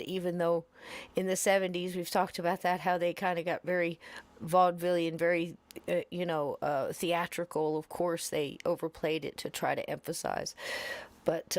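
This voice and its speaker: A woman's voice: on the low side, dry recording. A woman hosting a podcast, chatting in a rambling, casual way before playing an old episode. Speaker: podcast host. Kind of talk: casual chat.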